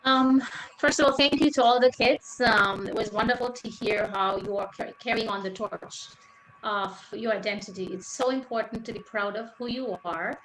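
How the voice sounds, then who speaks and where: A woman's voice: a little high, moderate pace, a bit bright. Earnest woman panelist in an echoey room. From Sri Lanka. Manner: earnest, with many fillers. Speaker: teacher, panelist. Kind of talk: panel discussion remarks. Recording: echoey room, faint noise.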